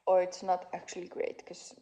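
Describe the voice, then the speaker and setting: A woman in her twenties with a Finnish accent, talking in a soft, halting, unscripted vlog monologue from a couch at home. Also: lowish voice, completely flat delivery. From Finland. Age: 20s. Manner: soft-spoken, halting, frequent fillers. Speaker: vlogger. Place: parents' living room couch.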